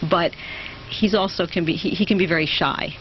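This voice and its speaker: Earnest middle-aged woman, halting. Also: on the low side, muffled recording, slight background noise. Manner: earnest, halting, laughs easily. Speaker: interviewee, mother of a pop singer. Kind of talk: casual interview chat.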